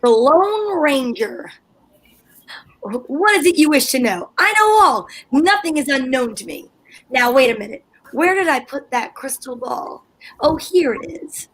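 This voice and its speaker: A woman playing an excitable wife in an old-time radio comedy, who also jokes as a fortune teller. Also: sits high, unhurried. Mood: playful. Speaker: radio play performer.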